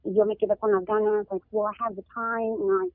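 A woman's voice: mid-range voice, flat delivery, fast talker, no room sound. A woman from Southern California, born in Mexico, telling personal stories casually as a podcast guest, mixing in some Spanish. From born in Mexico, raised in Southern California. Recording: phone-like, narrow-band call audio.

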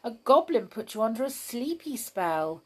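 A woman's voice: on the high side, very animated, moderate pace, darkish tone, slightly rough, voice pushed up. A woman voicing an anxious young girl in a storybook reading. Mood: coaxing. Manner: pleading, eager.

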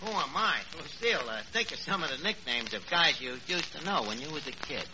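An actor playing a dim, bluffing, uneducated city man with a halting, muddled delivery, in 1940s radio comedy style. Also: a little high, expressive. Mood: coaxing. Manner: halting, muddled, mangling words. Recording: muffled, noisy old radio broadcast.

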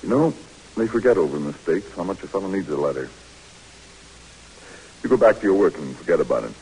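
An actor playing a calm, kindly Red Cross worker who talks in a reassuring, steady and direct way, in 1940s radio drama style. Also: male voice, low voice, fast, a little hiss or hum, rough recording.

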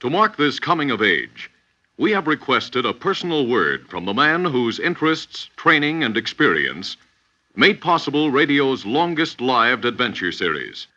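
A male announcer from 1950s radio drama, declaiming grandly with wide, swooping pitch. His voice is forceful and bright, heard on a muffled old recording. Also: big pitch swings, normal speed. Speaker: announcer and narrator.

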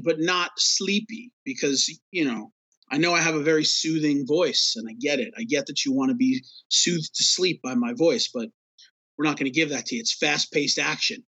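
Rambling New podcast host, muffled. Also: male voice, a little high, pushed and bright, no echo. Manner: laid-back, rambling with many fillers. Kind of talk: casual hockey podcast chat.

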